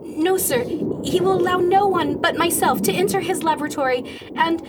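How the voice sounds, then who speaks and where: An actress playing an anxious, pleading daughter in an old-fashioned radio drama, with hesitant, melodramatic delivery. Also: female voice, very high-pitched, moderate pace. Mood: urgent, distressed. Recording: loud background noise in radio play.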